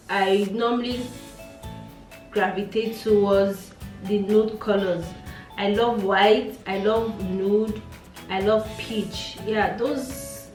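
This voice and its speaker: A woman's voice: mid-range voice, roomy sound, recorded from a distance. A Nigerian woman in her late twenties with a Nigerian accent, chatting casually in a get-to-know-me vlog, musing aloud and repeating herself. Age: late 20s. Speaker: beauty vlogger.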